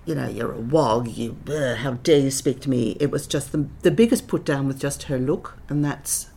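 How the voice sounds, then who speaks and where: Middle-aged woman guest, very low and gravelly, reflective storyteller with dry wit. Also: normal speed, warm tone. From Netherlands. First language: Dutch. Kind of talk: candid interview conversation.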